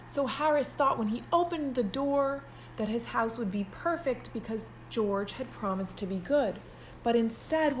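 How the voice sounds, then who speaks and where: A woman's voice: sits high, a little hiss or hum, low-quality recording. A woman teaching pre-kindergarten children, reading a story aloud expressively and explaining it in simple words.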